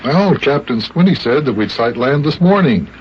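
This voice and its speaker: A man narrating and hosting an old-time children's radio serial with expressive, deliberate storyteller phrasing. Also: medium pitch, highs cut off, slight background noise.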